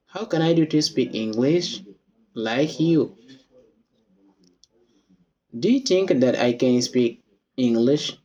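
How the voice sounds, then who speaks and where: Slow-talking man teaching English. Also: medium pitch, darkish tone, muffled recording. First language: Wolof. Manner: reads each dialogue line twice, slowly and deliberately. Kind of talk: slow read-along English lesson.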